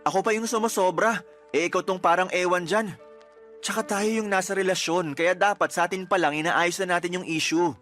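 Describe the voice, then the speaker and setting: Exasperated young boyfriend, high-pitched. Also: male voice, fairly even intonation, brisk, fairly bright tone, faint music behind. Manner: argumentative, emphatic. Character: exasperated young boyfriend pleading with his fiancée. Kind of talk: Tagalog radio drama acting.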